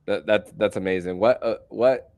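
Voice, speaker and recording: 30s guy, lowish voice, podcast host stumbling thru questions, dry sound. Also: darkish tone, no echo. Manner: halting, repeats words while asking questions. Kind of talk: casual podcast chat.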